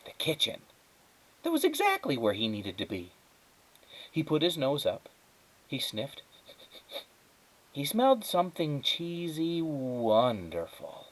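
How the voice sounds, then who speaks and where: A man reading a children's story aloud as a teacher would, with dramatic, measured phrasing and emphatic pauses. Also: on the high side, wildly swooping pitch, rough recording. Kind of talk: expressive children's storybook narration.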